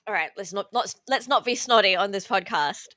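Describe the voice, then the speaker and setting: A woman's voice: mid-range voice, brisk, pushed and bright, dry recording, studio quality. A tearful woman, talking casually as a podcast guest, with lots of fillers and laughter mixed in. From Australia. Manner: chatty, many fillers, laughs through emotion. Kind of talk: casual interview chat. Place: relative's house, indoors.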